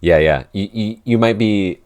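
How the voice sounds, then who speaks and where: A man's voice: fairly low pitch, measured pace, darkish tone, smooth. A man co-hosting a casual podcast Q&A, talking hesitantly with many restarts and fillers. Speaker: podcast head writer and editor, co-host.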